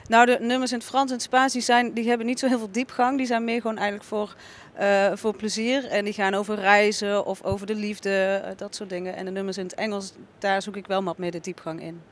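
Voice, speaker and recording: A woman's voice: medium pitch, flat delivery, fairly quick, hardly any pauses, slight background noise. A woman in her thirties, a singer-songwriter, answering interview questions in a casual, hesitant way with many filler sounds. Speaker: singer-songwriter and band leader. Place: venue backstage lounge, indoors. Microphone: handheld mic held close.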